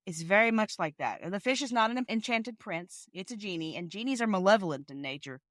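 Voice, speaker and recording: A woman's voice: mid-range voice, expressive, brisk, a bit bright, no echo, clean studio sound. A woman podcast panelist giving her take in a casual discussion.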